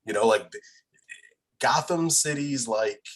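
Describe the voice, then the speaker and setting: A man's voice: mid-range voice, animated, slow, breathy edge. A man chatting as a podcast guest in an informal, opinionated way, blunt and emphatic about his points. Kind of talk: casual opinionated chat.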